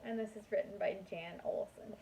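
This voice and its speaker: A woman's voice: mid-range voice, steady tone, fairly quick, gravelly, warm tone, a little hiss or hum. A woman kindergarten teacher reading a picture book aloud to young children in a sing-song manner.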